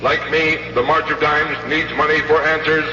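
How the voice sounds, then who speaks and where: Male charity-spot announcer, monotone and mechanical, reverberant muffled recording. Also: on the high side, moderate pace, over loud music. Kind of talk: scripted 1970s radio announcement. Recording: echoey, muffled 1970s radio spot.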